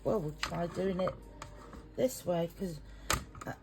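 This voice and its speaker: A middle-aged English woman rambles in a chatty, slightly self-conscious way in her home kitchen. Her voice sits fairly low and she talks unhurriedly. The recording carries a clear layer of background noise. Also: slow pace. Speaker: home cook and carer. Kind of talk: casual cooking vlog.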